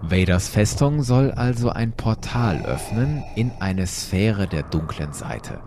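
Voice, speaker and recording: A man's voice: low-pitched, noisy background. A man hosting and narrating a story in a dramatic, scripted style. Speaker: storyteller host.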